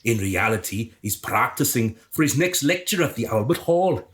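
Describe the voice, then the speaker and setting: A man acts out a scheming rival leader in a radio drama. He is grandiose and smug. His mid-pitched voice rises and drops theatrically. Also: expressive, normal speed, close to an everyday voice. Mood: gleeful relish.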